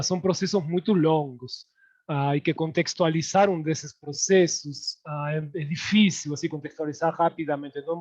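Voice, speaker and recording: A man from Argentina with an Argentine Spanish accent, speaking as a guest artist in a relaxed, digressive way with many hesitations. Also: a little high, dull audio. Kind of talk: online panel talk.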